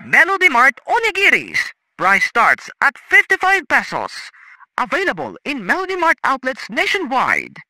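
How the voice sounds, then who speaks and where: A man's voice: extremely high pitch, huge pitch swings, a little slow, pushed and bright, dry recording, poor audio. A man with a Filipino accent delivering an upbeat scripted radio commercial. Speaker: advertisement voice-over announcer.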